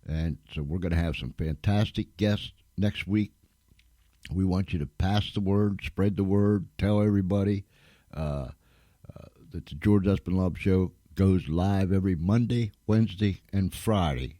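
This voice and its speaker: A man's voice: low-pitched, measured pace, warm tone, dry recording, studio quality. A man hosting an informal live radio show, speaking to listeners in a folksy, effusive way and repeating phrases for emphasis. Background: music.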